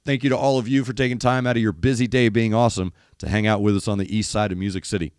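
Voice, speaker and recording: Hoarse, gravelly man talking drums. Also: on the low side, quick pace, no echo, studio quality. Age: older. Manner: animated, emphatic, imitates drum hits. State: losing his voice, gravelly. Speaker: host of a music reaction show. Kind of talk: casual music commentary. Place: studio in east Nashville.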